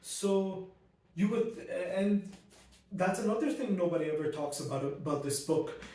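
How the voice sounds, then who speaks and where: A man's voice: high-pitched, lively intonation, measured pace, warm tone, far from the mic. Male booktuber, chatty and ranty, in a very echoey room a step back from the mic. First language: not Arabic; Arabic learned as second language. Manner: ranty, many fillers and restarts. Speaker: book reviewer. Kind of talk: casual spoken book review.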